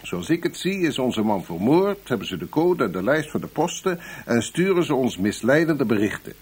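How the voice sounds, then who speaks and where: An actor playing a curt, irritable intelligence chief in a Dutch radio play, giving orders in a businesslike, exasperated way. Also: male voice, mid-range voice, sing-song, normal speed, husky. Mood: grave, businesslike.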